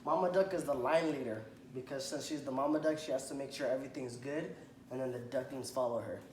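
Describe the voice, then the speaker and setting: A man reading a children's picture book aloud to a child, stopping to point at the pictures and ask questions, and often clearing his throat. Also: mid-range voice, fairly even intonation, quick pace, darkish tone. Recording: echoey room, faint background noise.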